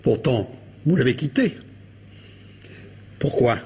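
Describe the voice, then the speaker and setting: An actor playing a probing, sceptical police commissioner in a radio mystery drama, formal, ironic and insistent. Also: male voice, mid-range voice, expressive, slow, warm tone, breathy edge, heavily acted.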